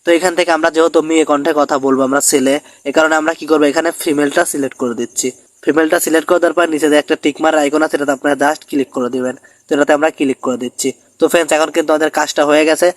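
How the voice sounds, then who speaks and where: A male tutorial host talks through steps at breakneck speed. His voice sits a little high for a man, and the sound is dry and close. He addresses listeners directly and keeps checking that they follow. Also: fairly even intonation, racing, no echo. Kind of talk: step-by-step screen tutorial narration.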